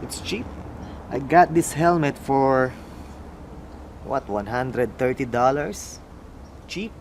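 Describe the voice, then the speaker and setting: A young Filipino man with a Filipino accent drawls through a casual vlog, unhurried and a bit mumbly. His voice sits in an ordinary middle register, and a faint hiss of background noise lies under it. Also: medium pitch, lively intonation. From Philippines. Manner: slow, mumbling, musing aloud. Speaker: motorcycle vlogger. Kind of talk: casual product unboxing vlog.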